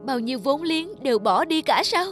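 A woman's voice: high voice, expressive, normal speed, music in the background. A woman playing an earnest, determined young male fish farmer in a cartoon. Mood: shocked despair. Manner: earnest, resolute. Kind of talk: cartoon dub acting.

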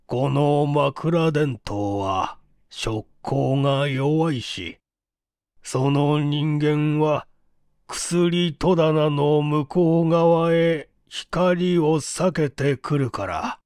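A frail but stubborn old man, acted out by a male reader in a mystery audiobook. He speaks very slowly and weightily, in a flat, toneless way, and refuses to bend. The sound is dry. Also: fairly high pitch, smooth, no echo. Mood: grave, weary. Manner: slow, halting, weighty speech. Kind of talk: dramatic audiobook character reading.

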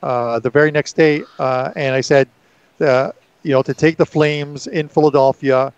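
A man in his seventies with a headset, talking hockey bets in a casual chat, pausing often with fillers. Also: a little high, steady tone, clean tone, no room sound, dull audio. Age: 70s. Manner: hesitant, many fillers, chuckling. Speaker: co-host. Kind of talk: casual sports betting chat.

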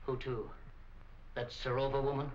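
A man's voice: mid-range voice, little pitch movement, normal speed, a little hiss or hum, lightly acted. An older actor playing a stern, embattled businessman father in a 1940s film, with formal, indignant diction. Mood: disapproving. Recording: muffled old 1940 film soundtrack.

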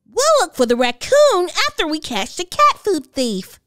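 A bright, eager little girl pitched way up high, squealing as she talks, put on by a woman reading a storybook aloud. Her voice leaps all over the place, dry and close with faint music underneath. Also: huge pitch swings, a little slow, dry recording, full character voice. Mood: excited. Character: excited young girl detective.

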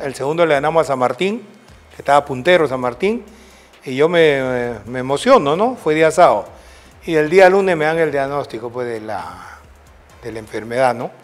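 Older peruvian guy, ex football coach, rambling through old stories, voice sits kinda high, keeps asking "right?". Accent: Peruvian Spanish. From Peru. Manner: rambling anecdotal storytelling with tag questions. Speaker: former football coach, guest. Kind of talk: storytelling interview.

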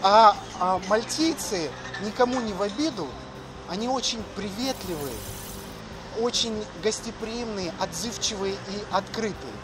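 A middle-aged man with a notably high voice hosts a casual walking vlog. He is out on city streets, with prominent music behind him. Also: unhurried, rough recording. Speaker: education agency host. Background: music, street ambience.

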